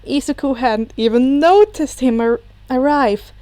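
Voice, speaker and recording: A woman narrating a piece of fan fiction in an expressive amateur storytelling style. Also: high voice, a little slow, dry recording. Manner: acts out characters' dialogue.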